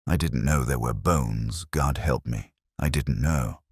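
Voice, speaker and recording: Man acting a frightened, deep-voiced pleading workman. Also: unhurried, husky, no echo, studio quality, heavily acted. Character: frightened, sobbing workman, obedient and guilt-stricken.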